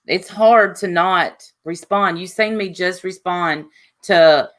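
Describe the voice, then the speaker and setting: A woman's voice: lowish voice, takes it slowly, a bit bright. A woman hosting a live stream panel, talking bluntly and cutting in to argue.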